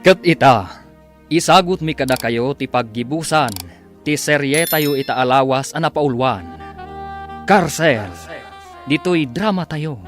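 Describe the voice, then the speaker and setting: Slow Ilocano male radio drama announcer. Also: a little high, soft background music. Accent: Filipino, Ilocano. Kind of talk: dramatic scripted narration.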